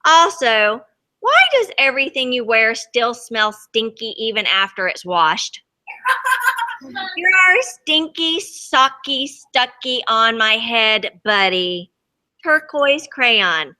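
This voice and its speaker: A woman reads out a wry little storybook crayon with a complaint. The voice is plaintive and bright, unhurried, moving expressively up and down. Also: on the high side, big pitch swings, full character voice. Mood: wry complaining. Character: puzzled, complaining turquoise crayon stuck to a sock.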